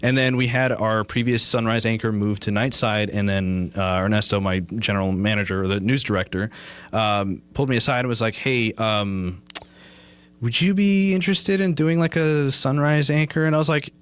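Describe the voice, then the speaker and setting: A young man with a lowish voice answers questions on a local radio show. He speaks in a relaxed, rambling way, restarting phrases and quoting what he told himself. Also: moderate pace, smooth. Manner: relaxed, rambling storyteller with many restarts and acted quotes. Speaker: guest, morning news anchor and producer. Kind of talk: casual radio interview.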